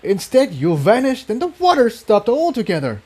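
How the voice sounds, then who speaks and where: A man's voice: high-pitched, wildly swooping pitch, normal speed, breathy edge, voice pushed up, full character voice. A man playing a burly, accusing male pony who spits out his words in a story reading. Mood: bitter. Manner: spitting, yelling.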